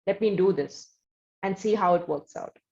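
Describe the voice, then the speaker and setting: A woman hosting an interview, talking in long, deliberate, conversational lead-ins. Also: medium pitch, vocal fry, dark tone, roomy sound, lo-fi sound. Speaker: interview host.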